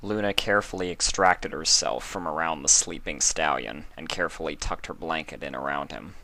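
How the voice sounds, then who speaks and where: A man reading a fan story aloud in even, measured narration. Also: extremely low pitch, no room sound. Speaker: fanfic reader.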